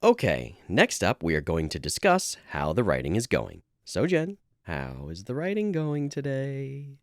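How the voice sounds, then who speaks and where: A man's voice: mid-range voice, huge pitch swings, measured pace, dry recording, studio quality. A man co-hosting a podcast in a casual chat, telling things in a conversational way. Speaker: podcast co-host.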